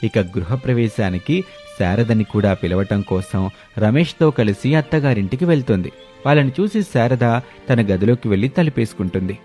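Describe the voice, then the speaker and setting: Guy narrating a scripted moral story, mid pitched voice, music under it. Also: lively intonation, moderate pace, warm tone.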